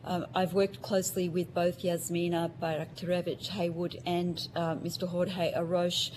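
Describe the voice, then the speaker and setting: A middle-aged woman who grew up in Australia, giving measured formal testimony as a witness over a call, with many um fillers. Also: lowish voice, little pitch movement, noisy background. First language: Ukrainian. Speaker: witness, psychiatrist.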